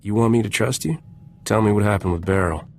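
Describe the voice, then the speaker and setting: A man's voice: lowish voice, fast, a little mellow, lightly acted. A man playing a cocky, flirtatious smuggler captain in a video game, wry and flippant. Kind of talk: video game character acting.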